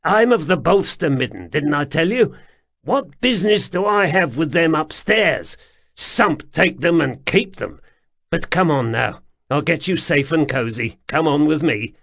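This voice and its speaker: A man voicing an excitable, wheedling grimy male cave-dweller who talks loudly and pleads. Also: a little high, a little slow, vocal fry, pitched above the performer's own voice, full character voice. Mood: agitated.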